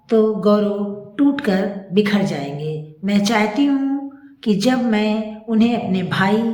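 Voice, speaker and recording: A woman voicing a wronged, resolute wife and mother in a grave, measured, earnest manner for a story. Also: medium pitch, darkish tone. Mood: pleading. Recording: echoey room.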